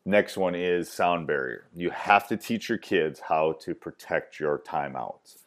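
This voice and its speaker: A very deep-voiced man with a loud voice walks through basketball timeout tips. He hammers points home by repeating them, and his talk is dotted with "um"s and restarts. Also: lively intonation, a little slow. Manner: emphatic, repeats key points, many fillers. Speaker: basketball coach. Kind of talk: coaching clinic talk.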